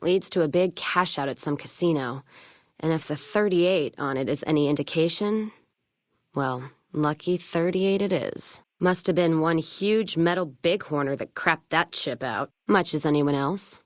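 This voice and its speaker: A tough, foul-mouthed wasteland trader woman for a video game. Her voice sits low, and she talks bluntly with wry, cynical salt. The recording is close and dry, with no room sound. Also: unhurried, no room sound. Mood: sardonic. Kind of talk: video game character dialogue.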